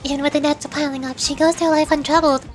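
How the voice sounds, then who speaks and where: A woman's voice: high-pitched, level tone, quick pace, natural voice. A woman voicing a perky, scheming young cat girl mercenary, chatty and cajoling, reading game dialogue. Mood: reflective. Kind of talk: video game dialogue read aloud. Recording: loud music behind the voice. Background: game music.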